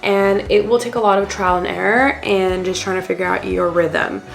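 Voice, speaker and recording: A woman's voice: medium pitch, fairly even intonation, normal speed, creaky, soft background music. A woman in her thirties, slightly congested after a cold, chatting in a friendly, encouraging way to her vlog viewers. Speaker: vlogger. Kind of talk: casual lifestyle vlog talk.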